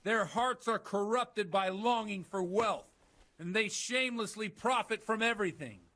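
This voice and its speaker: An actor playing a worldly, roguish village priest with a theatrical streak in a medieval video game. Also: male voice, high voice, moderate pace, sharp tone. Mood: fiery preaching. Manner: conversational, theatrical when preaching. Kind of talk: dramatic video game dialogue.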